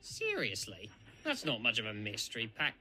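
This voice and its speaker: A man's voice: fairly low pitch, huge pitch swings, moderate pace, sharp tone, faint music behind, full character voice. A man voicing a vain, boastful red steam engine playing detective in a fan cartoon dub, pompous and huffy. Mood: curious. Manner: pompous, huffy, self-assured. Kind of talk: fan comic dub voice acting.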